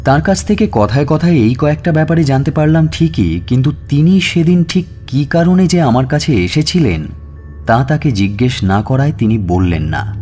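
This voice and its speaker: An actor playing an authoritative police inspector who narrates an audio story in a measured, deliberate way. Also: male voice, medium pitch, sing-song, dark tone, lightly acted. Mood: measured recounting. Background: music.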